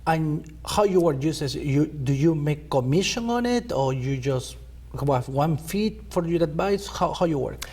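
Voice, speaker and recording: Halting male talk show host. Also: on the high side, animated, a little mellow, slightly rough. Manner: halting, repeats words, friendly prompting. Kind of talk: interviewing a guest. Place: high school TV studio.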